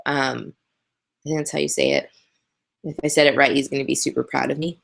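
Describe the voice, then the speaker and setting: Low husky-edged woman chatting. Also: steady tone, brisk. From Canada. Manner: chatty, candid, laughs easily, many ums. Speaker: host answering viewer questions. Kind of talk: casual live Q&A chat. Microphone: webcam on a live video hangout.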